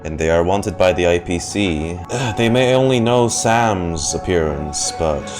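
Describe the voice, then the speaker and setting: A woman's voice: very deep voice, expressive, measured pace, music in the background. A woman game streamer giving casual reaction commentary, conversational and musing.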